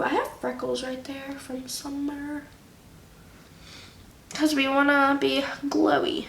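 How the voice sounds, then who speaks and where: A woman's voice: sits high, creaky, a bit bright. A young woman chatting through a makeup tutorial, slightly nasal from a cold, with lots of fillers. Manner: chatty, filler-heavy, unhurried. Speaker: beauty vlogger. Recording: echoey room with faint noise.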